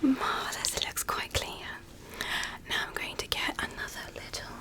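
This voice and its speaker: A woman whispering softly and breathily in a gentle, reassuring ASMR roleplay as a doctor giving an exam. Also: moderate pace. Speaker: ASMR performer playing an ear doctor.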